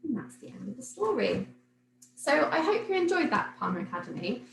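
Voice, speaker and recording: Animated woman reading a storybook, lively pitch. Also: brisk. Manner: animated, clearly paced storytelling for young children. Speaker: teacher reading a picture book to pupils. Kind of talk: expressive children's storybook read-aloud. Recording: roomy echo, noticeable background noise.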